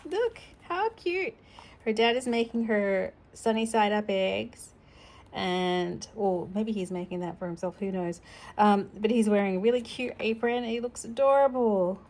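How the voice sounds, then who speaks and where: A woman reads a children's picture book aloud at a very unhurried pace, with a mid-range voice. She is expressive and drops in simple, chatty asides for a young listener. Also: medium pitch. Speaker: storytime reader.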